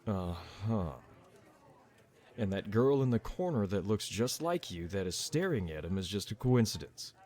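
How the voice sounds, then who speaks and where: A man's voice: on the low side, very animated, measured pace, darkish tone. A man acting a shrewd, fatherly old tavern bartender in a fantasy audio drama, wry and knowing. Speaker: voice actor in an audio roleplay.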